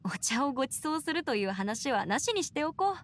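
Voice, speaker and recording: High-voiced confident young woman. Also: very animated, fairly quick, a little hiss or hum. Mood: friendly, confident. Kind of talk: anime game voice acting. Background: music.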